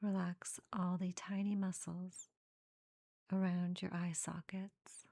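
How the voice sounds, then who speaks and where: A woman leading a guided meditation in a soft, breathy half-whisper, giving gentle, soothing instructions in short phrases with pauses between them. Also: lowish voice, little pitch movement, no echo.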